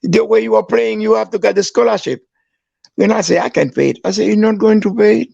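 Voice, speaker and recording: A man's voice: quick pace, creaky, breathy edge, no echo. A man from Haiti with a Haitian accent, a guest telling stories animatedly, acting out quoted dialogue. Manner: animated storyteller, acts out quoted dialogue with raised pitch. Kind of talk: casual storytelling. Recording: dry, dull lo-fi.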